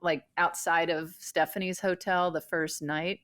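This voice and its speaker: Podcast co-host, woman, lowish voice, chatty and rambling, lots of ums. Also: level tone, a little slow, fairly bright tone. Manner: rambling storytelling with many fillers. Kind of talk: casual chat.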